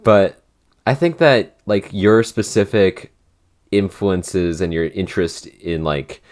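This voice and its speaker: A man's voice: low voice, takes it slowly, darkish tone. A man chatting casually as a podcast writer in a behind-the-scenes Q&A, often searching for his words.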